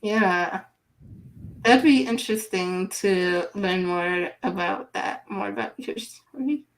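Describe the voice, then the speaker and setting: A woman hosting a live online interview, speaking in an unhurried, halting way as she reads viewer comments aloud. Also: medium pitch, creaky, rough recording. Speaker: livestream host.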